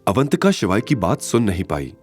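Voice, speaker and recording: A man narrating a scripted drama story in an expressive, suspenseful storytelling style. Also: fairly low pitch, brisk, soft background music.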